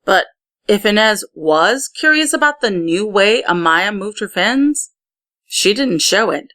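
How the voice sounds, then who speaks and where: A woman's voice: medium pitch, animated, a little slow, a bit bright. A woman reads a children's story aloud in a mid-range voice. She narrates expressively, giving key words emphasis as the tale goes along. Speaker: story reader.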